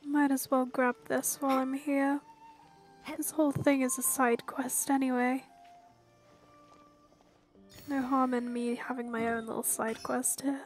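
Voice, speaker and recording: A woman's voice: high-pitched, level tone, measured pace, long pauses, faint music behind. A woman doing casual let's play commentary in a dry, offhand way. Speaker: game streamer.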